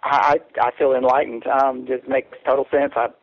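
A man speaks as a caller in a coaching session. His delivery is flat and reflective, with pauses as he searches for words. The call sounds dry and dull, with lo-fi audio. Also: mid-range voice, no echo. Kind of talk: reflective conversational talk.

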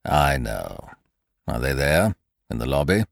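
Man playing a nervous, imperious rich gentleman, low-voiced. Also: big pitch swings, measured pace, vocal fry, no room sound, clean studio sound, heavily acted. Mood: curt, commanding. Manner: curt, imperious, agitated. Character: wealthy, nervous, commanding man growing alarmed at an intruder.

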